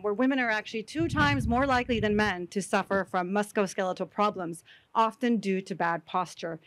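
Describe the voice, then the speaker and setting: A woman from Mexico, a founder giving a rehearsed, confident startup pitch to investors. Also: slight background noise, low-quality recording. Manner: confident, rehearsed, measured delivery.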